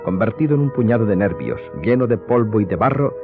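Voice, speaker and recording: A man's voice: lowish voice, animated, brisk. A man giving scripted dramatic narration as the narrator of a radio drama. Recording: thin phone-like sound, music behind.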